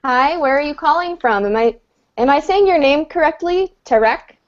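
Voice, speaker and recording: A woman teaching English on a video call, her voice pitched a little high and delivered patiently, clearly and encouragingly. The audio is dull and lo-fi. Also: animated, moderate pace. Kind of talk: online group class.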